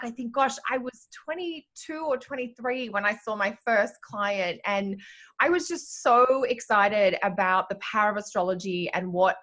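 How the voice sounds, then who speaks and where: A woman in her forties with a slightly softened Australian accent, chatting casually as an interview guest. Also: mid-range voice. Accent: Australian, slightly softened by years in Canada. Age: 40s. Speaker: guest, astrologer and teacher.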